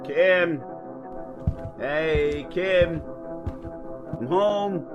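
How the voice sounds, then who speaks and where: A man's voice: on the high side, extremely slow, dull audio, music in the background. An actor playing a folksy, bantering trucker who is a domineering husband and father, in an audio drama. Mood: jovial teasing.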